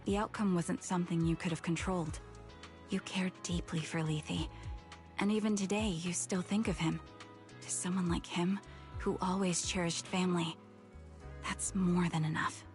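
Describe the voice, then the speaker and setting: Woman doing a grave gentle sniper girl in a game, kinda low soft voice, wistful, music behind. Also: fairly even intonation, moderate pace, close to an everyday voice. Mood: gentle, reassuring. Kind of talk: dramatic game voice acting.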